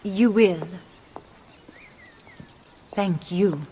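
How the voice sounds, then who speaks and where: Tender, devoted lady for a game, extremely unhurried soft delivery. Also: medium pitch, slight background noise. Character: tender, loving Italian noblewoman wife. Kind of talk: dramatic video game cutscene acting.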